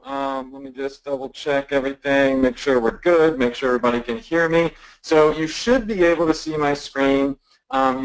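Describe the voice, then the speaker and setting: Gravelly upbeat man hosting a webinar. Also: mid-range voice, low-quality recording. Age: 30s. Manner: upbeat, chatty, many fillers and restarts. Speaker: online marketing trainer hosting a webinar. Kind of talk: casual live webinar teaching. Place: office at a stand-up desk. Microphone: desk mic on a live webinar.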